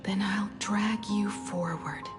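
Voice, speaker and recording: A woman's voice: medium pitch, steady tone, slow, over music, close to an everyday voice. A woman playing a steadfast, encouraging young companion in a video game, speaking in a firm and earnest way. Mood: firm, reassuring. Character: steadfast, encouraging young female imaginary friend. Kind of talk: dramatic video game voice acting.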